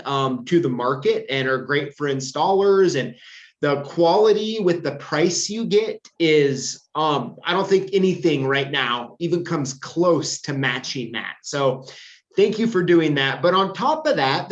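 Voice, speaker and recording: A male host runs a casual interview, his voice a little high for a man. He rambles eagerly, stacking "um"s and "you know"s, and the audio comes through dull and muffled. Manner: rambling, enthusiastic, many fillers.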